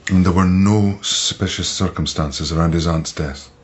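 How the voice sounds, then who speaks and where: An actor playing a serious, methodical investigator, deliberately summing up a case in a radio drama. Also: male voice, sits low, noisy background, lightly acted. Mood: sceptical. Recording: dull sound with background ambience.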